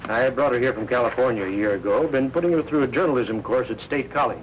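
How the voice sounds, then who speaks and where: An actor playing a mild older newspaper owner and doting uncle in a 1940s film, hesitant and genial. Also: male voice, medium pitch, fast, close to an everyday voice. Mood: hesitant, coaxing. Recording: noisy 1940s film soundtrack.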